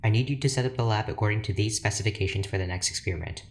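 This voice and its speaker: An actor playing an obsessive, lonely, overworked scientist in an audio drama, formal and brooding. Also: male voice, on the low side, very fast, slight background noise, lightly acted. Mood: brisk, demanding. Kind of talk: audio drama monologue, diary-like.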